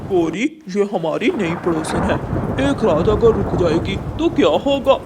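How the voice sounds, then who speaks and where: A woman doing a kindly cartoon male crow, pitched lower than the other birds. He speaks in an earnest, urging way with lively swings. There is clear storm noise behind him. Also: a little slow, natural voice. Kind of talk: Hindi children's cartoon story acting.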